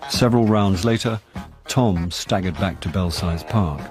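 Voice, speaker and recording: An actor with an English accent playing a rueful, boozy amateur sleuth, narrating a radio drama in a wry, self-deprecating way. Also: male voice, low voice, measured pace, darkish tone, faint music behind.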